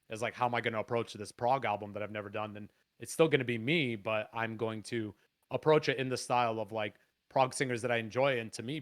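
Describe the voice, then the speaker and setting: A man from Canada with a raspy, low voice, chatting on a podcast as a guest musician, animated and swearing freely. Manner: animated, swears freely, quick excited bursts. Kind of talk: casual podcast chat.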